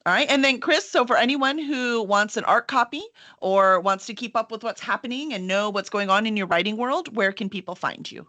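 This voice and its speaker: A woman's voice: fairly high pitch, a little fast, sharp tone. A woman hosting a live online author interview as a publisher, effusive and encouraging as she chats.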